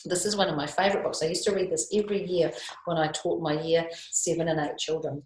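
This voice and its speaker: A woman with a New Zealand accent talks children through a picture book as a teacher, playful and animated, often asking them questions. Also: low voice, fast talker. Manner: often chuckles as she talks. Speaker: school teacher reading picture books to children. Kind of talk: read-aloud storytime, talking children through a picture book. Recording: echoey, roomy home-style recording.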